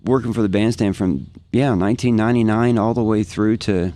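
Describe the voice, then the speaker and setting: Enthusiastic low-voiced American man. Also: brisk, mellow, dry recording. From Kansas, United States. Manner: enthusiastic, repeats phrases for emphasis. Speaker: guest, professional guitarist. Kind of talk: casual podcast chat, reminiscing.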